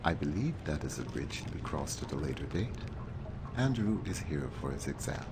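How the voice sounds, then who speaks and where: A man voicing a calm, formal shipboard artificial intelligence in a game, precise and reassuring. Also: a bass voice, moderate pace, noisy background. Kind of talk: game voice acting.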